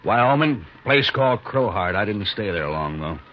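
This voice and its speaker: Man acting a gruff, brutal Western outlaw boss. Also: fairly low pitch, animated, normal speed, natural voice. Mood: dry. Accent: American. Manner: gruff, domineering, threatening, rustic speech. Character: brutal, cold-blooded male Western outlaw bossing his gang. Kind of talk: 1950s radio western acting. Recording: dull, noisy 1950s radio recording.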